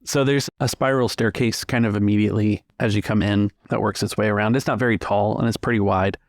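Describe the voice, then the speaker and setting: A man improvising scene descriptions as a game master, pausing to pick his words in a measured way. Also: lowish voice, steady tone, no echo, clean studio sound. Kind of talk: improvised tabletop game narration.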